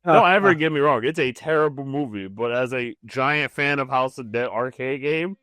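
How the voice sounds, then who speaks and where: A man's voice: medium pitch, normal speed, a bit bright, clear, resonant tone, dry recording. A man co-hosting a podcast, chatting casually in an easygoing, plain-spoken way. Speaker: podcast co-host. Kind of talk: casual panel chat.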